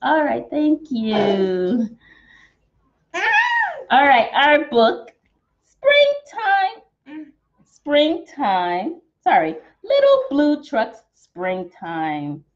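High, very animated American woman storyteller. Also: extremely slow, long pauses. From Texas, United States. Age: young adult. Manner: theatrical, exaggerated, sing-song. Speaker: children's storytime teacher. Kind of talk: animated picture-book read-aloud for young children. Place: small decorated room at home, indoors. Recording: dull, slightly muffled webcam sound.

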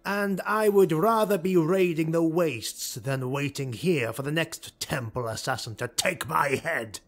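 A ruthless male dark elf lord , as a man reading a fantasy audiobook puts him on, raised above the narrator's own voice and pushed harder. He speaks in urgent, clipped commands with a husky edge to his tone. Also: fairly high pitch, unhurried, faint music behind, pitched above the performer's own voice.

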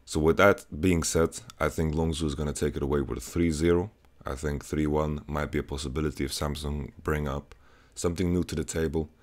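A young man in his twenties with a slightly hoarse voice, giving an esports match preview in a measured way with many filler words, recorded on a headset in a small bedroom. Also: a bass voice, fairly even intonation. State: voice getting hoarse. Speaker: esports analyst. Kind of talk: solo match preview to camera.

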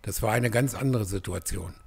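Man playing a gravelly, stern forest huntsman. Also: lowish voice, normal speed, darkish tone. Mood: cautious. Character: stern, protective adult male forest huntsman. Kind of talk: German fantasy audio drama acting.

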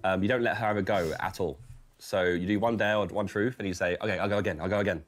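A man with a fairly low voice gives casual instructions as part of a show's crew. Faint music sits behind him. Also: steady tone, fast. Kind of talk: casual briefing.

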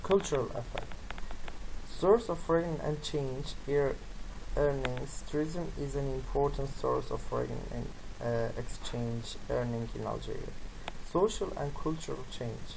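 A presenter who is not a native English speaker, reading through a slide presentation in halting, non-native phrasing. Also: mid-range voice, creaky, noisy background. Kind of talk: slide presentation, read from text with asides.

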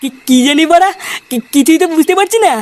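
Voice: very high voice, quick pace, a little hiss or hum. A performer voicing a brash village lad in a comedy cartoon, blunt and casual, talking like one of the gang. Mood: puzzled. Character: brash, swearing older village lad, a boy's friend.

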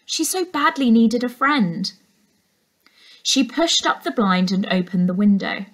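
A young woman reading a children's novel aloud as a teacher, in an expressive storytelling style. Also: fairly high pitch, a little slow, poor audio. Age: young adult. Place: furnished indoor room.

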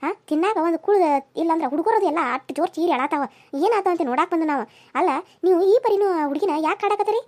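A woman's voice: very high voice, quick pace, darkish tone. A woman voicing an outspoken, protective, motherly neighbour in a family melodrama, indignant, with a North Karnataka Kannada accent.

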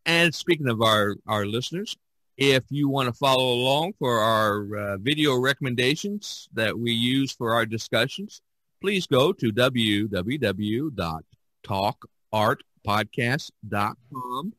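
An American man hosting a casual podcast, speaking in a somewhat halting way. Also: mid-range voice, expressive, sharp tone, no room sound, low-quality recording. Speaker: podcast host. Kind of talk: casual podcast talk with announcing.

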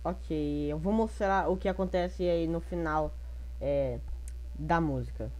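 Brazilian female gaming YouTuber, lowish voice, casual commentary, chatty and slangy. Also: slow pace, a little mellow. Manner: slangy, chatty, thinking aloud.

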